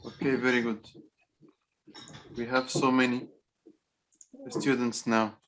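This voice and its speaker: A man's voice: medium pitch, level tone, fairly bright tone, a little hiss or hum, rough recording. Hesitant male teacher on a video call. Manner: hesitant, halting, repetitive phrasing. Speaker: computer teacher for young children. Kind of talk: online class instruction.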